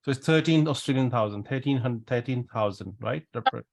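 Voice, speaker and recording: A man is hosting an informal live interview at a middling pitch. He asks questions and recaps the answers. He often doubles up words and phrases when agreeing. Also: brisk, vocal fry. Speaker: interview host.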